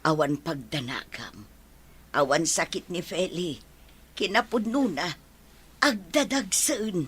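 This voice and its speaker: Expressive, bright-voiced actress as a village mother. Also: on the low side, slow pace, husky, exaggerated voice. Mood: reassuring. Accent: Ilocano. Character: caring, excitable elderly village mother and wife. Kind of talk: Ilocano radio drama acting.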